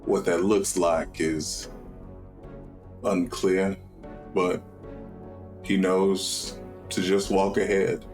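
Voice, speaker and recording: A man playing a tabletop roleplay game, describing his character's story in short, measured, solemn phrases with long pauses. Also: low-pitched, little pitch movement, darkish tone. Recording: music under the voice. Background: background music.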